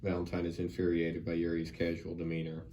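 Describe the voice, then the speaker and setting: Very deep, flat male narrator. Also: a little fast. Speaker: narrator reading stage directions. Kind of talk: scripted narration at a table read. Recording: distant mic, background noise.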